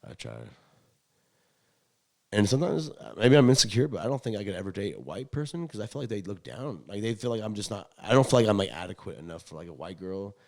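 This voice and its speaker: A man's voice: lowish voice, fast, gravelly. A young man chatting casually as a podcast host, telling rambling stories in slangy, profane talk. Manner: slangy, profane, animated when acting out quotes. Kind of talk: casual rambling storytelling chat.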